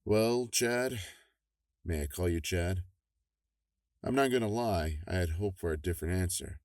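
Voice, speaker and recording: Man playing a deep-voiced folksy government official. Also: measured pace, a little mellow, no echo, clean studio sound. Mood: affable. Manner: affable, persuasive, chuckling. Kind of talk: audio drama acting.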